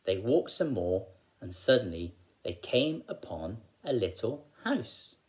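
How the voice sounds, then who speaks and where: A man reading aloud as a storyteller for children, in an expressive style. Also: fairly low pitch, measured pace, poor audio.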